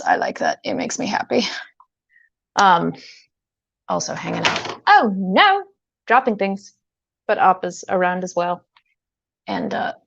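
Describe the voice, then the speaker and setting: Lady streamer rambling playfully while she fiddles with gear, bit of vocal fry, kinda muffled audio. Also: mid-range voice, no room sound. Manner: chatty, rambling, hesitant, playful asides. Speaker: livestreamer, host. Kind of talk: casual livestream chat while tinkering with gear.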